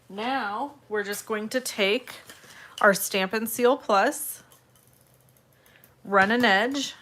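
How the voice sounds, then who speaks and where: A woman with a slightly raspy, congested voice hosts a casual step-by-step craft tutorial, addressing viewers in a friendly, direct way. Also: medium pitch, pushed and bright. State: congested, irritated throat from allergies.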